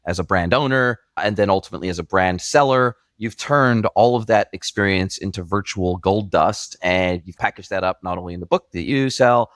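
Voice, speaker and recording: Low-voiced podcast host in his 40s, hard to place international accent, dry sound, super enthusiastic and gushing. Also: a bit bright, no echo. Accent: mixed international. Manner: enthusiastic, effusive.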